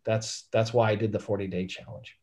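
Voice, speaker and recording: A man, a real estate investor and podcast guest, telling his story candidly in a casual interview, his voice raspy from illness. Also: on the low side, level tone, fairly quick, darkish tone. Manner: candid, says "right?" often.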